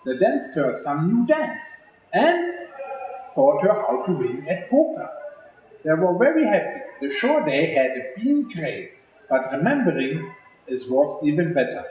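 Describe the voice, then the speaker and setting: A man's voice: on the high side, darkish tone. A man reading a children's story aloud, speaking directly and expressively to young listeners. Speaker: executive chef reading a children's story for a charity. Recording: very echoey room, faint background noise.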